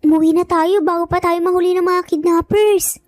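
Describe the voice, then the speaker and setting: A woman's voice: very high voice, steady tone, fairly quick, a little mellow, no echo. A woman voicing an eager, earnest young boy in a dramatized story. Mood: worried, urging. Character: young triplet boys, eager and earnest little brothers.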